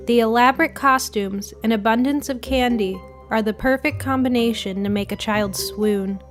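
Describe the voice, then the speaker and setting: Female storyteller, slightly high pitch, scripted short-story narration, soft music underneath. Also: moderate pace.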